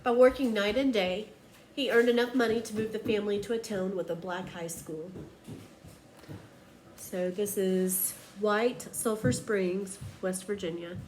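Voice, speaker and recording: A woman reads a children's picture book aloud in the way of a teacher. Her delivery is expressive and emphatic, at a medium pitch. There is faint noise behind her voice. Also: unhurried, rough recording.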